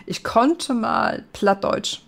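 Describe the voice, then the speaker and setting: A man's voice: extremely high pitch, lively intonation, drawn-out words, creaky, a bit bright. A man from northern Germany with a northern German accent, hosting a podcast in casual chat, hesitant with many fillers and frequent laughs. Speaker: podcast host.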